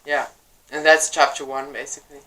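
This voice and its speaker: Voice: mid-range voice, little pitch movement, a little fast, bright, forceful tone. A filmmaker answering audience questions after a screening, talking fluently but wordily with frequent fillers. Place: cinema screening room. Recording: echoey room with slight noise.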